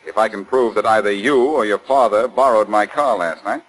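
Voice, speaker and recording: An actor playing a brash, confident amateur sleuth in 1940s radio style, blunt and insistent. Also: male voice, fairly low pitch, normal speed, a bit bright, rough recording. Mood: accusing. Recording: 1940s radio broadcast recording.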